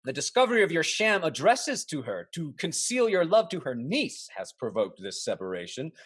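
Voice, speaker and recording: A man plays a worldly, sardonic gentleman of Restoration comedy. His delivery swings widely in pitch, theatrical and dismissive, in a close, dry recording. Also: big pitch swings, normal speed, breathy edge, no echo, heavily acted. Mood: needling. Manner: theatrical, sardonic period phrasing. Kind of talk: Restoration comedy play reading.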